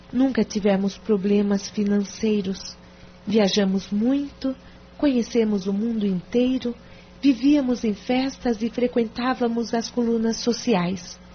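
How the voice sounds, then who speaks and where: A woman's voice: fairly high pitch, lightly acted. An actress with a Brazilian accent playing a reserved, embarrassed married woman confiding in a hesitant way in an audio drama. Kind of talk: audio drama acting in Portuguese. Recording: dull lo-fi audio with clear noise.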